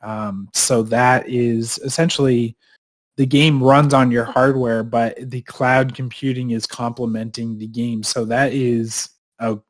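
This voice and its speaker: A man's voice: medium pitch, level tone, unhurried. Stuffed-up man chatting casually. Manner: rambling, many fillers and restarts. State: stuffed-up nose. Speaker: podcast co-host. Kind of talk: casual chat.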